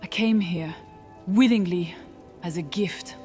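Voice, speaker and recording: A woman's voice: fairly low pitch, mellow. Woman as an earnest, sorrowful witch, music underneath. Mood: sorrowful confession. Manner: earnest, measured, halting. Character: gentle, sorrowful young witch who lived as boy.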